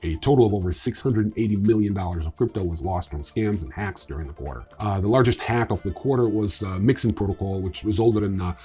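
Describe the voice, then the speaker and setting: A man's voice: on the low side, brisk, hardly any pauses, dark tone, soft background music. A man hosting a news show, reading scripted reports in an informal way with casual asides. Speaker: host of a crypto news show.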